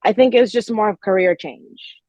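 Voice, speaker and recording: A woman's voice: medium pitch, brisk, warm tone, no echo. A woman in her twenties from Nigeria who grew up mostly in Canada, chatting casually as a guest in a podcast interview. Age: 20s. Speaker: podcast guest, fashion designer.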